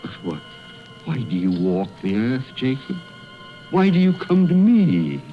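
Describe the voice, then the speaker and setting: A man's voice: medium pitch, sing-song, a little slow. An actor playing a miserly, cold-hearted old businessman, skeptical and fearful, in a theatrical, blustering radio drama style. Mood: pleading, meek. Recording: dull lo-fi recording with music behind.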